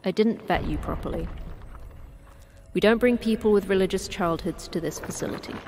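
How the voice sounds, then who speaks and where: An actress playing a terse, guarded manager of a secret facility in an audio drama, speaking in a measured, blunt way. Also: female voice, medium pitch, a little mellow, slight background noise, natural voice.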